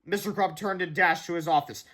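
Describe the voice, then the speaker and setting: Male storybook reader, high voice, expressive children's read-aloud delivery with the odd stumble. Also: a little fast. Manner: expressive, stumbles over some words.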